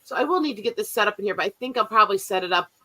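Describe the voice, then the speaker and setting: A woman's voice: medium pitch, animated, racing, bright, forceful tone. A woman co-hosting a casual livestream chat, blunt and profane, talking over a remote streaming mic. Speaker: stream co-host. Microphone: streaming mic, remote call.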